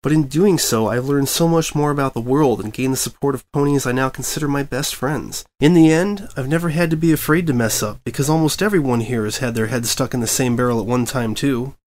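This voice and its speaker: A man's voice: medium pitch, brisk, few pauses, a little mellow, pitched above the performer's own voice, close to an everyday voice. A man voicing a prim, bookish young female unicorn scholar who is easily exasperated and earnest.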